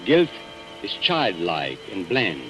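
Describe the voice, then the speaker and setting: An actor playing an urbane, ironic man narrating a murder tale in a measured, theatrical 1940s radio drama style. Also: low voice, wildly swooping pitch, heavily acted, low-quality recording. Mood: ironic, grave. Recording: vintage 1940s radio recording over music.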